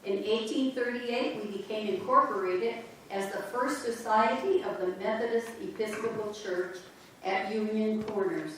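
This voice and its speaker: A woman narrating a church history play on stage, telling the story slowly and directly to the audience. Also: mid-range voice, slight background noise. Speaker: narrator in a church reader's theater. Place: large church sanctuary. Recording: very echoey church from far off.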